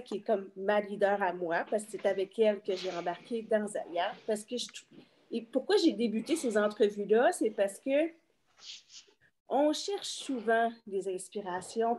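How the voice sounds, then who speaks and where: A woman's voice: mid-range voice, a little mellow. A woman with a Quebec French accent hosting a casual online interview in an informal, chatty way, often feeling for her words. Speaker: interviewer and host.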